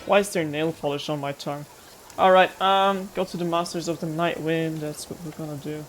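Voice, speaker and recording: A young woman talks through her game playthrough in a low, relaxed voice, rambling and giggling between thoughts. Quiet game music sits behind her speech. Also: fairly quick, low-quality recording. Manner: rambling, giggly asides to viewers. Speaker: gaming streamer. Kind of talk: casual let's-play commentary.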